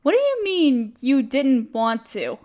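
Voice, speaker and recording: A woman's voice: high-pitched, big pitch swings, slow, mellow, full character voice. A woman playing an overworked, apologetic young woman confiding hesitantly in a close friend in an intimate audio roleplay. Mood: sheepish, warming. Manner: self-deprecating, hesitant, casual. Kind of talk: roleplay audio drama, intimate one-sided conversation.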